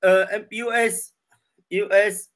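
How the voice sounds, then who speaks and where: A man's voice: sits high, steady tone, takes it slowly, a bit bright. A man in his thirties with a Chinese accent, practising conversation in hesitant, broken English and repeating his words. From China. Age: 30s. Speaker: English learner. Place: online video lesson call.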